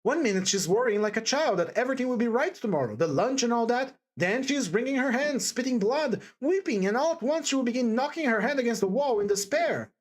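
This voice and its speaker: A woman voicing a timid, distressed young woman in an audiobook, pleading fervently with halting repetitions. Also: fairly high pitch, lively intonation, pitched above the performer's own voice. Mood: desperate, pleading. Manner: halting, stammering, breathless repetitions and emphatic exclamations. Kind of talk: dramatised audiobook character dialogue.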